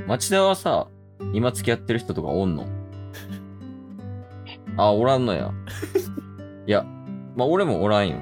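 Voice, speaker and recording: A man playing an earnest, smitten teenage boy with a Kansai accent in an amateur radio drama. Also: lowish voice.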